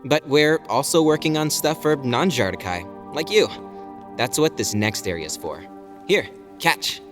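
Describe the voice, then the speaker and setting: A man's voice: medium pitch, expressive, unhurried, a bit bright, faint music behind. A man playing an eager, boyish alien fighter in an audio drama, casual, chatty and playfully cocky. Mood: enthusiastic. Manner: casual, hesitant then enthusiastic.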